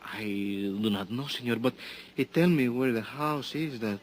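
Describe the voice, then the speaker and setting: An actor playing a nervous, deferential young hotel bellboy in a 1950s radio drama. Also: male voice, mid-range voice, unhurried, lightly acted. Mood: eager. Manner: hesitant, deferential, says senor often.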